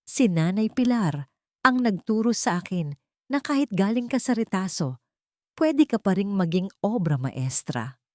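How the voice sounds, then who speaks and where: Woman voicing a firm, forgiving young mother, mellow. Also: medium pitch, sing-song, no echo. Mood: tender. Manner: firm, measured.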